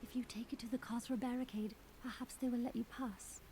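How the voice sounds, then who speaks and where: An actress playing a flustered, roguish young female thief in a video game, chatty and expressive. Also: a little high, a little fast, heavy background noise, natural voice. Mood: sheepish.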